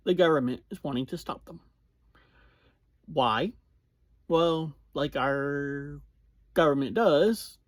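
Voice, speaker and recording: A reviewer hosting a casual recap, talking in short, halting phrases. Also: medium pitch, no room sound. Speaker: podcast reviewer and host.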